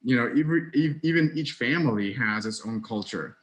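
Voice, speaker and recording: A man in his thirties from Russia, a psychologist hosting the podcast, speaking in a measured way with many pauses. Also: mid-range voice, animated, a bit bright, rough recording. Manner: measured, many fillers and restarts. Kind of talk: casual interview chat.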